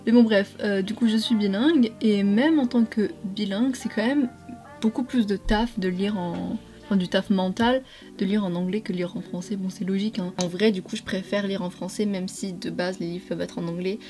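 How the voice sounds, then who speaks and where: Mellow young woman chatting casually. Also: mid-range voice, fairly even intonation, normal speed, soft background music. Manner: informal, slangy, rambling with self-corrections. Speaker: beauty and lifestyle vlogger. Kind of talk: casual chat to followers while doing makeup.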